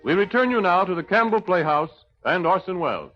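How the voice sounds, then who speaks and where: A male announcer with a notably high voice delivers formal station copy. The sound is that of 1930s radio, dull and band-limited, with music clearly underneath. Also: animated, a little fast. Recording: muffled 1930s radio broadcast, music behind.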